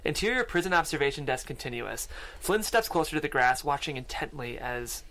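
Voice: mid-range voice, expressive, fairly quick, fairly bright tone, rough recording. A narrator reading screenplay stage directions aloud at a table read. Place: podcast studio.

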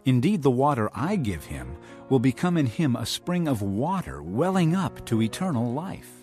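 Male actor as calm authoritative teacher, earnest, solemn, expressive melody, scripture drama, background music. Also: mid-range voice, moderate pace, close to an everyday voice. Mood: calm, knowing.

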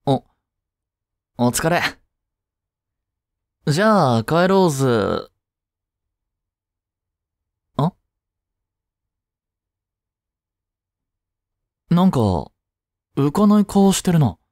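A man's voice: fairly high pitch, lively intonation, slow pace, long pauses, darkish tone, no room sound, studio quality. A young man playing a laid-back, protective teenage childhood friend in a casual voice drama, speaking bluntly and teasingly as if to the listener. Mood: concerned. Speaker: voice actor. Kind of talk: first-person voice drama, casual chat to the listener.

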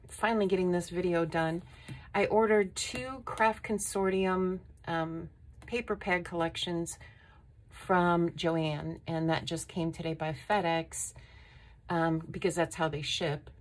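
A woman vlogging a casual craft haul at her table, unhurried and admiring, with frequent ums. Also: lowish voice. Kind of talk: casual show-and-tell haul. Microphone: overhead camera on a tabletop.

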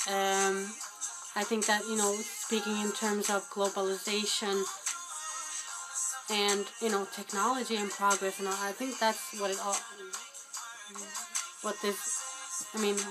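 A woman's voice: mid-range voice, poor audio. A woman in her twenties, originally from Albania, rambling through an unplanned vlog into a webcam with lots of fillers and restarts, poking fun at herself. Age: 20s. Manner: rambling, self-deprecating, many fillers and restarts. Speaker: guest vlogger. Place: small dim room indoors. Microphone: webcam at close range.

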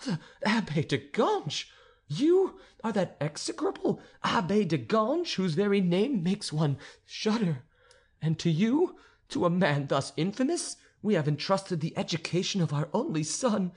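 Man doing a stern noble countess, imperious, high lifted voice, swinging melody. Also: sing-song, a little slow, breathy edge, full character voice. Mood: horrified. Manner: haughty, imperious. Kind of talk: audiobook character reading.

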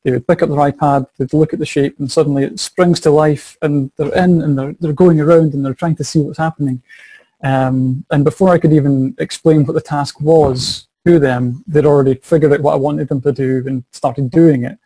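A man's voice: fairly high pitch, steady tone, moderate pace, mellow, breathy edge, dry recording, low-quality recording. A young Scottish man, a science and maths teacher, answering interview questions over a video call from a small office, eager and repeating words as he thinks. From Scotland. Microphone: video call webcam.

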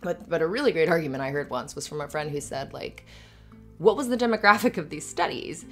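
A woman's voice: sits low, animated, a little fast, soft background music. A woman playing an affectionate, earnest, slightly anxious live-in girlfriend in a conversational, rambling, hesitant ASMR roleplay. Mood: thoughtful, earnest. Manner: rambling, hesitant, giggly asides. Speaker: audio roleplay performer.

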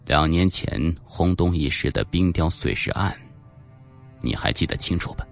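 A man's voice: sits low, animated, normal speed, vocal fry, faint music behind. A man voicing a cold, probing police team leader with an insinuating, menacing manner in an audiobook. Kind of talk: audiobook character dialogue.

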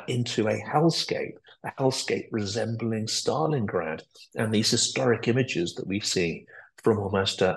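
A middle-aged man hosts an interview over a video call. His voice is low and gravelly, with a lot of fry. He asks long questions in a measured, articulate way. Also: poor audio. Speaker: podcast host. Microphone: video call webcam.

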